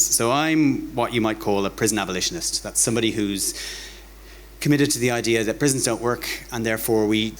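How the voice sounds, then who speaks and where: A man with an Irish accent and a fairly low voice speaks to a live audience in an informal lecture style. His delivery is conversational and wry, with words often repeated. There is faint noise from the venue behind him. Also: a bit bright. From Ireland. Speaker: academic giving a public talk. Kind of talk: informal public lecture with dry humour. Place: bar hosting a talk night.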